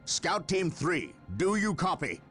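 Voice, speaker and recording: An actor playing an authoritative, resolute space marine captain in a video game, with a formal, commanding manner. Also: male voice, high voice, very animated, takes it slowly, a bit bright, full character voice. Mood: briefing. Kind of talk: video game cutscene acting. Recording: music under the voice.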